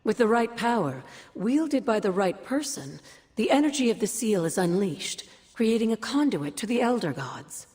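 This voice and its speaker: An actress playing a wry older sorceress mentor in a game cutscene, measured and knowing, with fond teasing. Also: female voice, mid-range voice, big pitch swings, darkish tone, big-room sound, close to an everyday voice. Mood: solemn, knowing. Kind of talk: game cutscene dialogue, expository storytelling.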